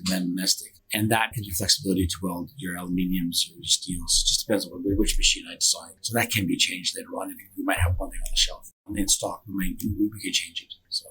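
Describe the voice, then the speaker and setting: A man being interviewed as a company director, explaining technical work conversationally, often halting and repeating words. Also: low-pitched, fairly even intonation, bright, forceful tone, husky, rough recording.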